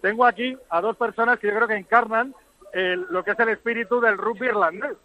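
A high-voiced man reports live from among rugby fans. He chats and jokes as he grabs people to interview. The sound comes over a dull, lo-fi remote line. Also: steady tone, brisk. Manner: chatty, jokey, interviews fans on the spot. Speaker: field reporter at a rugby match.

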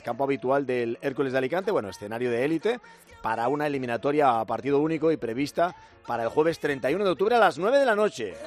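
A man hosting a radio sports news bulletin, brisk and lively, with offhand asides. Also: on the high side. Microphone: studio microphone.

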